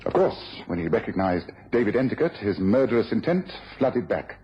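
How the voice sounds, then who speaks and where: A man playing a commanding, precise gentleman detective in a radio drama. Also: lowish voice, sing-song, brisk. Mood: composed, explanatory. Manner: authoritative, precise, explanatory. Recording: dull lo-fi radio, faint noise.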